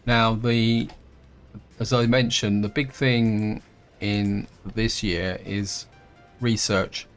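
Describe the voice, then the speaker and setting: Croaky guy rambling through his strategy game, lowish voice, faint music behind. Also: level tone, very slow. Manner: rambling, thinks aloud with many fillers and restarts. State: croaky from a throat infection. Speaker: gameplay host. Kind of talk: casual let's-play commentary.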